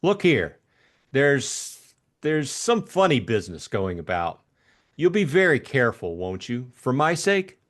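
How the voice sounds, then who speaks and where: A breezy young gentleman adventurer in a classic mystery audiobook, voiced by a man. He is chummy, jaunty and slangy, with very animated pitch. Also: a little slow. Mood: concerned, breezy.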